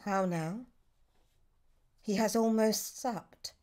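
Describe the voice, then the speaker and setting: An actress playing a ruthless, scheming noblewoman, speaking Shakespearean verse in a deliberate, theatrical manner for a radio drama. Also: mid-range voice, a little mellow. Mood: scornful.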